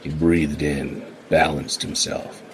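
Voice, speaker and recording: A man narrating a noir radio play in a measured, even storytelling way. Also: rumbling low, slight background noise, rough recording. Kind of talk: scripted narration.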